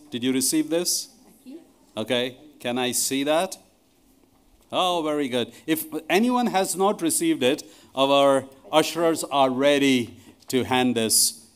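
A man's voice: medium pitch, clear, resonant tone. A middle-aged man speaking to a congregation from a church lectern microphone. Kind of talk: addressing a congregation, reading a testimony aloud. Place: large church hall, indoors.

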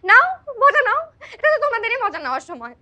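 A woman's voice: very high-pitched, big pitch swings, quick pace, bright, forceful tone, heavily acted. A young woman with a Bangladeshi accent acting a hurt, guarded college student in a short-film drama, speaking in a pointed, wounded way. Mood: bitter, hurt. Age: young adult.

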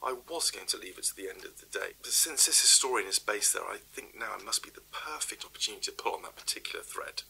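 A man's voice: on the low side, animated, quick pace, vocal fry, few pauses, bright, forceful tone, husky, exaggerated voice, low-quality recording. An actor playing a curious male professor, formal and hesitant. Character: curious male professor recording research tapes.

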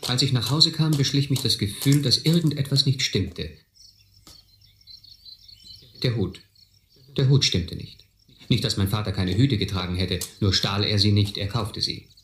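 A middle-aged actor playing a reflective man who recalls his boyhood, narrating a radio play in measured, literary style. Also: on the low side, fairly bright tone. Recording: echoey old recording, faint noise.

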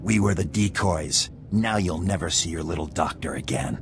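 Gravelly, sneering gang thug. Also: male voice, low voice, normal speed, background noise. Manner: sneering, rough-spoken. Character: defiant, cowardly street thug, a captured gang henchman. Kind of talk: video game character acting.

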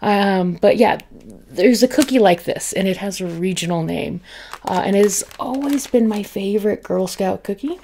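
A woman in her thirties from the United States, chatting softly in an ASMR grocery haul in her home kitchen. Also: mid-range voice, moderate pace, creaky, a little hiss or hum. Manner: soft-spoken, chatty, laughs often. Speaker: ASMR creator.

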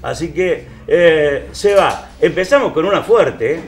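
A man in his sixties with an Argentine accent discussing politics off the cuff as a panel host, halting and reflective with frequent pauses. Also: a little high, animated. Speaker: panel host on a regional TV talk show. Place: small TV studio at a panel table. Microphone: table microphone. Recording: roomy echo, faint music behind.

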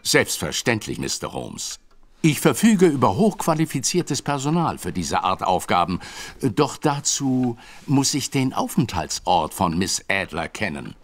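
An actor playing a scheming aristocratic villain, smooth and polite to guests but scornful and commanding behind their backs. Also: male voice, mid-range voice, big pitch swings, unhurried. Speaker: Sir John. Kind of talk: radio play acting.

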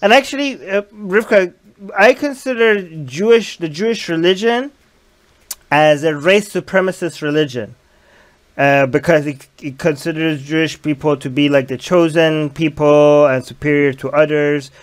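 A young man with a fairly high voice hosts a casual livestream from a small room. He reads viewer comments aloud and argues his points, speaking into a headset mic. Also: normal speed. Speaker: livestream host.

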